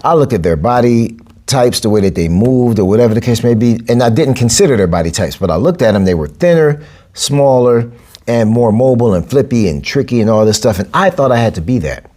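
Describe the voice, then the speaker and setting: A man, hosting a solo podcast as a boxing and capoeira coach. He talks emphatically, repeating phrases and jumping up in pitch to drive points home. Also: lively intonation, fairly quick, mellow. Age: 40s. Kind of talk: casual solo podcast monologue.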